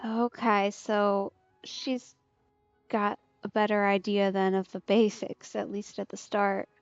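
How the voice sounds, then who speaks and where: A woman's voice: mid-range voice, flat delivery, slow, clean tone, no room sound. A woman streamer giving casual game commentary in an understated, wry way. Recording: slightly dull mic, music behind. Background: game music.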